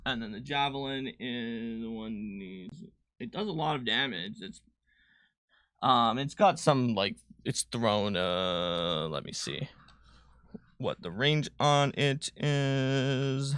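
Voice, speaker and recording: Bright-voiced man thinking aloud. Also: medium pitch, lively intonation, takes it slowly, clear, resonant tone, no room sound, clean studio sound. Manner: thinks aloud while working through numbers. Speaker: game designer co-hosting a livestream. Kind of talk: casual chat explaining tabletop game rules.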